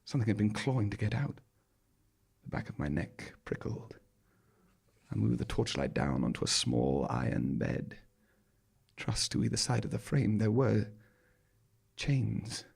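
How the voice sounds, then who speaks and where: Male monologue performer with gravelly creak, dry wit and dramatic stage storytelling. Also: fairly low pitch, a little mellow. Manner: arch, droll, dramatic delivery. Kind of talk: theatrical scripted first-person storytelling. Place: small theatre stage, live performance.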